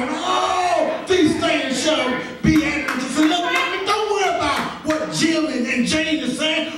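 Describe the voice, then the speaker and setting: An American man preaching a Baptist sermon as a minister, with an emphatic delivery that moves between shouting and half-singing and leans on repeated refrains. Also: very high voice. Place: large church sanctuary, at the pulpit. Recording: echoey church hall from far off, congregation noise.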